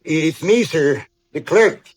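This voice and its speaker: An actor playing a timid, flustered hotel clerk in a 1950s radio western, hesitant and stammering. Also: male voice, on the high side, lively intonation, slow pace. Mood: nervous.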